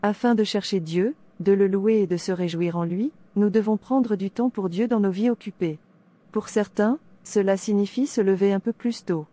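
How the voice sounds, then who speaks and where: Mellow-voiced woman narrating a devotional. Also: mid-range voice, moderate pace.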